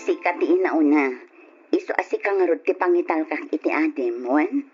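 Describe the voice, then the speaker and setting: A woman with an Ilocano accent playing a moralizing wife and mother in an old radio drama, with a husky voice. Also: low-pitched, lively intonation, moderate pace, darkish tone, soft background music. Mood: sorrowful, firm. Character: gossiping, moralizing wife and sorrowful departing mother. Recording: dull lo-fi radio drama recording.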